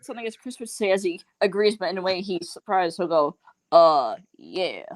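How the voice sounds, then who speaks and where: Woman co-host, playful asides, clear noise under her voice. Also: on the low side, animated, normal speed. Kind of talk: casual interview chat. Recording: audible background noise.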